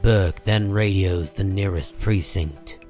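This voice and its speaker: A man narrates a comic book story in a deep voice. His delivery is slow, solemn and measured, with soft music underneath. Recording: music behind the voice.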